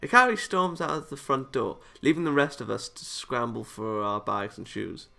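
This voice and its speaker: A man hosting a gaming video, reading a visual novel aloud and giving casual, rambling commentary in a halting way. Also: mid-range voice, vocal fry, bright, forceful tone.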